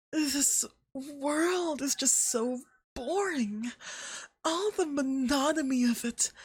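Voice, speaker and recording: Someone doing a smug femboy god for asmr, high and swoopy, super full of himself, flirty and possessive, breathy. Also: dry recording, heavily acted. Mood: haughty, possessive.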